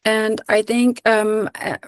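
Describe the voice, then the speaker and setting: A woman's voice: on the high side, flat delivery, takes it slowly, clear, resonant tone, no room sound. A young woman, a Kurdish diaspora activist, speaks earnestly in a webinar discussion, with many ums and restarts. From Kurdistan region of Iraq. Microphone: video call.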